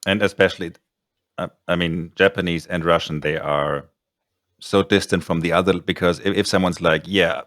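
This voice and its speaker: A man's voice: deep voice, steady tone, moderate pace, fairly bright tone, no echo, clean studio sound. A man hosting a podcast interview, chatting casually and rambling through his points. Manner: rambling, with many ums and restarts. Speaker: podcast host.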